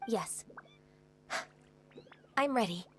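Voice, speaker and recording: A woman voicing a gentle, earnest young half-immortal in an anime video game, polite, soft-spoken and hesitant. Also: fairly high pitch, animated, extremely slow, slight background noise.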